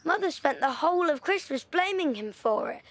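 A woman's voice: high voice, lively intonation, moderate pace. A woman playing a wistful wartime schoolboy. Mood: storytelling. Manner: earnest, hesitant. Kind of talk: audio play acting.

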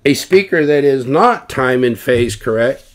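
A man's voice: mid-range voice, expressive, measured pace. A man giving an informal technical explanation as an expert, posing questions and then answering them. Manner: explanatory, self-correcting, rhetorical questions. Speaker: loudspeaker designer explaining measurements.

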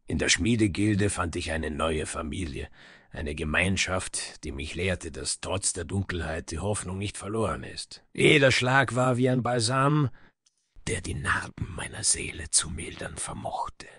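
An actor playing a somber, proud dwarf smith in a fantasy audio drama, grave and dramatic with breathy, hushed phrases and a husky voice. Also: male voice, deep voice, unhurried, dry recording, studio quality, exaggerated voice. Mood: haunted, sorrowful.

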